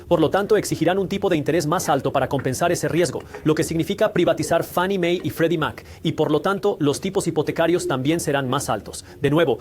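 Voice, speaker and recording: A man's voice: on the high side, a little mellow. A man hosting a channel about money, talking viewers through a scripted explainer on how the mortgage market works. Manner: brisk, explanatory, speaks straight to viewers. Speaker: finance channel host.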